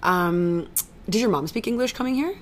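A woman's voice: mid-range voice, expressive, moderate pace, a little hiss or hum. A young woman hosting a casual podcast interview, friendly, often tripping over her words and laughing it off. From Canada. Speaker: podcast host.

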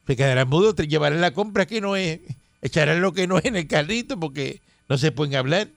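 A high-voiced man hosts radio banter in a Caribbean Spanish accent. He sounds emphatic and mocking as he teases, recorded close and dry. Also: animated, a little fast, vocal fry, no echo. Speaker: radio host. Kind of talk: casual, teasing radio banter.

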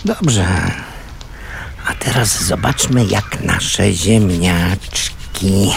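An actor playing a gruff but kindly homeless man in a radio play, with a gravelly voice and a colloquial, fatherly way of talking. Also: low-pitched, drawn-out words, full character voice. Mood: gruff, practical. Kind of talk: naturalistic Polish radio play acting.

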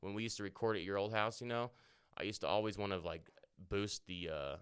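A man's voice: lowish voice, a little fast, a bit bright, clean tone. A young man co-hosting a podcast, talking in a rambling, crude, swearing casual chat. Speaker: podcast co-host.

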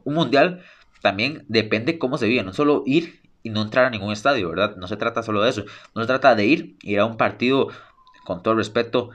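A man's voice: medium pitch, brisk. A young man hosting a solo sports podcast, speaking eagerly and repeating phrases for emphasis. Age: young adult. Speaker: podcast host.

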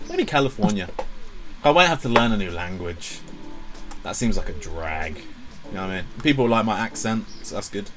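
A man's voice: lowish voice, lively intonation, normal speed, over music. A man hosting a podcast, joking around in casual chat. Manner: playful, jokey, laughs often. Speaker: podcast host.

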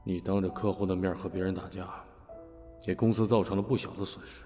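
A man's voice: low-pitched, fairly even intonation, brisk, dark tone, faint music behind, lightly acted. A man acting a cold, formal company boss in an audio drama, in a reverberant recording. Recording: reverberant hall-like echo.

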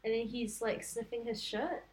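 A young woman with a slightly raised pitch talks casually as a podcast co-host. Her speech is chatty and loose, full of fillers and quick reactions. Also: steady tone. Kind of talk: casual chat.

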